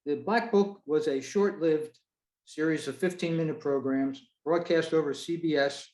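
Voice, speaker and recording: Guy hosting a zoom talk, higher-ish voice, echoey room, kinda halting and measured. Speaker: host of an online club meeting, presenter. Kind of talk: informal spoken presentation from notes. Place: indoors on a video call. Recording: roomy, echoey video-call audio.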